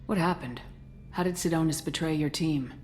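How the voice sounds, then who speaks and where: A steady, tough military commander, played by a woman in a video game. Her voice is strikingly low, direct and controlled, with a probing edge. Faint ambience sits behind it. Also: moderate pace, slight background noise, lightly acted. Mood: concerned, firm. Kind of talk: video game dialogue acting.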